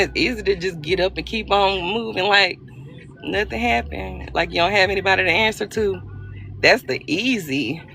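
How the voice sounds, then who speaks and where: Chatty woman with a gravelly edge. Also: on the low side, a bit bright, slight background noise. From Arkansas. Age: 40s. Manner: chatty, playful, self-deprecating, greets viewers by name. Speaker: livestream host. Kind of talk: casual live-stream chat with viewers.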